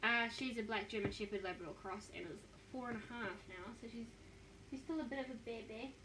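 A woman's voice: fairly high pitch, brisk, noisy background. A woman in her twenties with a New Zealand accent, chatting casually to a phone camera in her bedroom, self-mocking and upbeat. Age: 20s. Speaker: vlogger. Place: small bedroom, indoors. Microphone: phone camera close to the face.

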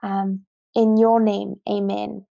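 A young woman in her twenties leading a spoken prayer over a video call, with gentle fillers. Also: mid-range voice, level tone, slow pace, vocal fry, dry recording, lo-fi sound. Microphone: headset earphones on video call.

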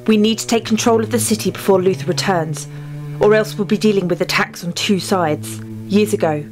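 A woman's voice: medium pitch, a little fast, lightly acted. A woman playing an earnest, commanding young warrior queen in a fantasy drama. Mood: determined. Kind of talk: dramatic fantasy fan-dub acting. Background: faint music.

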